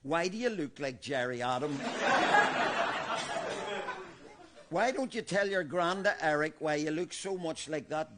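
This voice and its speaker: An actor plays a paranoid, bigoted old grandfather with a Belfast accent, set in his grudges and defensive when pushed. His voice sits fairly high for a man, and he talks flat and deadpan. Faint noise runs behind him. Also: normal speed, lightly acted. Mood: wheedling, menacing. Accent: Northern Irish, Belfast. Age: older. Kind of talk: comedy sketch acting.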